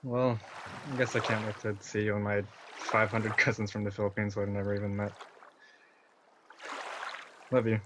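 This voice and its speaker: A man's voice: lowish voice, level tone, moderate pace, long pauses, noisy background, lightly acted. A young man playing a sarcastic brother in an amateur online drama, with a scoffing manner.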